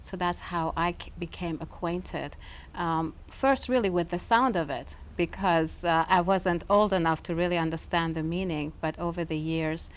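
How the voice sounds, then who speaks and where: A woman from Iran, speaking as a guest in a television studio interview, soft-spoken and thoughtful, pausing often with "um". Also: fairly low pitch, steady tone. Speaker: guest, author. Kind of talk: interview conversation.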